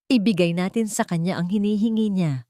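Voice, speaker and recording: A woman voicing a cold, controlling rich matriarch villain in an audio drama, with a Filipino accent and a haughty, deliberate, threatening delivery. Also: medium pitch, dark tone, no echo, clean studio sound, close to an everyday voice. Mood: cold, commanding.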